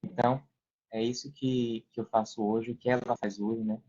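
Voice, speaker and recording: A slightly muffled podcast recording of a young Brazilian man with a medium-pitched voice. He explains his work casually, in the manner of a guest, and keeps adding little tag questions. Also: level tone, normal speed, warm tone. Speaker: podcast guest, online affiliate marketer working in support. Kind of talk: casual interview talk.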